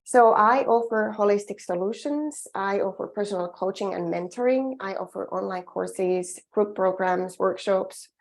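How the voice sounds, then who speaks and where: A middle-aged Finnish woman speaks English with her native accent, presenting a coaching webinar. Her voice has a gravelly, creaky edge at a middle pitch. She sounds earnest and encouraging and often restarts her sentences. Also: moderate pace, hardly any pauses. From Finland. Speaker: emotional well-being coach.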